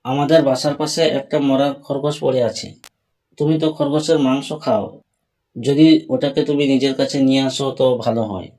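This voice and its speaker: A man voicing a grieving, vengeful father goat in a cartoon animal fable, speaking in a measured, stern way. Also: mid-range voice, fairly even intonation, warm tone, close to an everyday voice. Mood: feigned friendliness.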